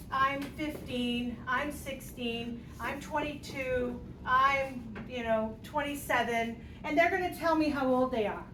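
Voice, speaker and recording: A woman teaching a math class, playful and jokey as she works through examples aloud. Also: high voice. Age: 50s. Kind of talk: classroom lecture. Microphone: distant room mic. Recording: echoey classroom, distant mic, noisy.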